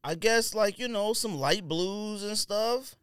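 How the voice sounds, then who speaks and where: A man's voice: high voice, slow, a bit bright, clear, resonant tone, dry recording, studio quality. A man co-hosting a podcast in casual banter, talking in a slangy, joking, rambling way. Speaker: podcast co-host.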